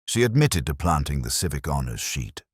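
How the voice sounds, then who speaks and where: An eager sergeant sidekick from a mystery story, voiced by a man. He sounds puzzled and questioning, with a deep, husky voice that moves about in pitch. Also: very animated, moderate pace, no room sound, clean studio sound, full character voice. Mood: bewildered triumph.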